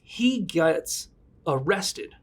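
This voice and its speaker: Man, podcast host, lawyer, casual chatty storytelling, slightly high voice. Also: very animated, drawn-out words. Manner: chatty, joking, retells cases loosely. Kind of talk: casual legal talk.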